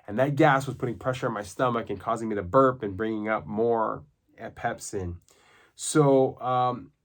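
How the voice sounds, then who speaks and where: A man speaks in a casual video diary, his voice coarse and congested, sitting at an ordinary middle pitch. He explains things earnestly and plainly, with the easy flow of someone talking straight to his viewers. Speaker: vlogger documenting his own diet.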